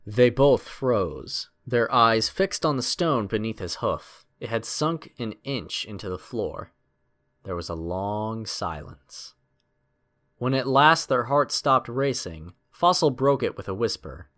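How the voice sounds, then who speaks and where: A man's voice: medium pitch, lively intonation, slow, a bit bright. A man narrating a scripted adventure story in a dramatic storytelling style.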